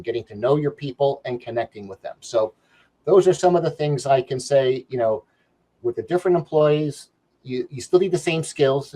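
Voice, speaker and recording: A man's voice: medium pitch, a little mellow, rough recording. A man with a New York accent, a leadership trainer answering interview questions in a rambling way, full of fillers and restarts. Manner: rambling, frequent "you know" fillers and restarts.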